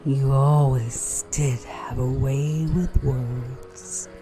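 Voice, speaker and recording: A woman's voice: rumbling low, fairly even intonation, very slow, warm tone, faint music behind, exaggerated voice. A woman playing a sly, venomous bounty hunter in a Western audio drama, with a sugary, mocking Southern American drawl. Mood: smug, threatening. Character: sly, venomous female rival bounty hunter in Old West. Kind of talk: Western audio roleplay acting.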